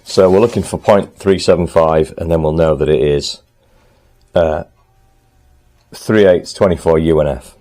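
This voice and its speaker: A man talking casually to the camera in his home workshop, thinking aloud as he works, a little hoarse. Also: rumbling low, measured pace, warm tone. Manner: chatty, thinks aloud while working, frequent ums. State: slightly hoarse, clearing throat. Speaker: motorcycle restorer and channel host.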